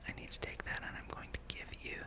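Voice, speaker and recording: A gaming ASMR host whispering softly and without voice in an unhurried, casual ramble over gameplay, close to the microphone. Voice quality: soft, unvoiced whisper.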